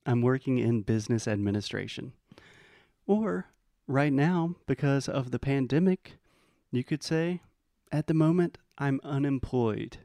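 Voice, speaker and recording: An American man teaching English in a bilingual lesson, explaining patiently and modeling phrases slowly. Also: mid-range voice, expressive, dark tone, no echo, studio quality. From United States.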